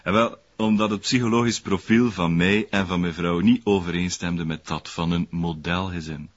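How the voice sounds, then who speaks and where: An actor playing an aggrieved former concierge turned prosperous dealer in a radio drama, rambling in folksy dialect with a West Flemish accent. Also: male voice, sits low, steady tone, moderate pace, lo-fi sound. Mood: wistful, aggrieved. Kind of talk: naturalistic radio drama dialogue.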